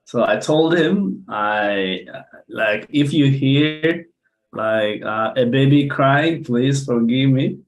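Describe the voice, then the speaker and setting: A young man from Ethiopia presents academic research over a video call. He speaks unhurriedly, stopping often for fillers and restarting his sentences. His pitch sits in a middle range. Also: slow, lo-fi sound. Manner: hesitant, many fillers and restarts. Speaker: conference speaker.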